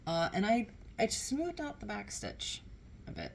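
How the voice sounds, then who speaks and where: A woman's voice: medium pitch, sing-song, takes it slowly, gravelly, slight background noise. A woman in her thirties chatting casually about cross stitch to camera at home, a bit nasal from a cold and rambling with small self-corrections. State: nasal, getting over a cold. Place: small indoor room at home.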